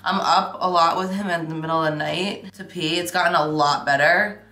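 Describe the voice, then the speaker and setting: A woman's voice: fairly low pitch, steady tone, normal speed, sharp tone, reverberant. A young woman vlogging casually while doing her makeup at home, rambling and chatty with lots of fillers. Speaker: beauty vlogger. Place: home makeup room, indoors.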